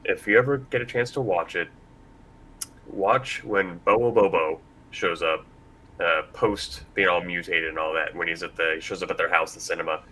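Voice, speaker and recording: A man moderating a casual live panel discussion, speaking in a halting, loosely rambling way. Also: low-pitched, sharp tone, poor audio. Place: convention panel room. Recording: live panel with background noise.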